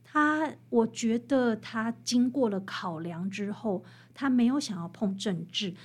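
Taiwanese mandarin lady, kinda high voice, guest author explaining stuff, animated n emphatic. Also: unhurried, breathy edge. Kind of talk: expressive explanatory interview talk.

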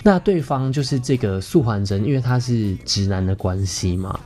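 A man's voice: lowish voice, expressive, normal speed, dark tone. A young man with a Taiwanese Mandarin accent tells personal stories in a chatty, playful, self-teasing way, like a podcast host. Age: young adult.

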